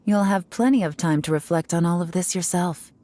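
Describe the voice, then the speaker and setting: A woman's voice: lowish voice, fairly quick, dark tone, slight background noise, close to an everyday voice. An actress voicing a self-assured woman investigator who speaks in a dry, confident, teasing manner. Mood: cool. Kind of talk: video game voice acting.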